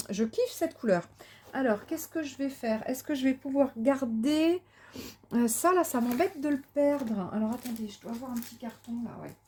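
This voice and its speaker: A woman vlogger chatting casually while she crafts, thinking aloud with many fillers and restarts, enthusiastic and sometimes muttering to herself. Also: fairly high pitch. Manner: enthusiastic about colours; talks to herself softly while working.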